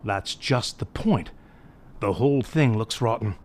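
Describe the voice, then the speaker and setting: A man's voice: on the low side, animated, measured pace. An actor playing a worried, suspicious middle-aged father in an audio mystery drama, talking in an earnest, uneasy way. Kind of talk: audio re-creation of a 1930s mystery film.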